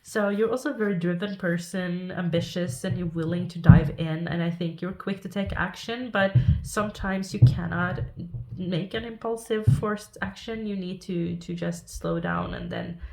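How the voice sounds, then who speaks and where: A woman with a Norwegian accent giving a pick-a-card tarot reading, sniffly and halting, restarting her thoughts as she speaks. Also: fairly low pitch, steady tone, poor audio. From Norway. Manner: halting, thinking aloud with restarts and soft laughs. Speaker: tarot reader.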